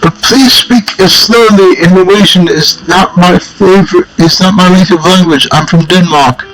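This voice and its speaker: A vengeful ghost king, voiced by a man, in a put-on old-fashioned British accent. The voice sits somewhat high, and the delivery is blunt and casual. It stumbles and restarts in the middle of sentences. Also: crowd noise, natural voice, low-quality recording. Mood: offhand. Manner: offhand, halting, restarting mid-sentence.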